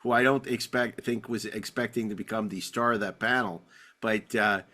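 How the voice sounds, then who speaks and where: A man hosting a podcast in casual discussion, telling rambling anecdotes with halting, repeated words. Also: fairly low pitch, steady tone, sharp tone. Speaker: podcast host. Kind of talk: casual panel discussion of classic TV.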